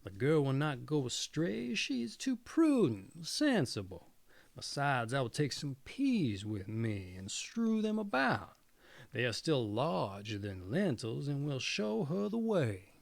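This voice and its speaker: A reassuring woodcutter father in a fairy tale, read by a man. He is firm, with a creaky, animated voice heard close and dry. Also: mid-range voice, a little slow, no room sound, exaggerated voice.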